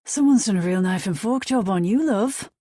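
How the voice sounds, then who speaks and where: A woman's voice: medium pitch, animated, moderate pace, mellow, no echo, clean studio sound, voice pushed up, close to an everyday voice. A woman voicing a cheeky, flamboyant male hair stylist with a British accent and a teasing, theatrical manner.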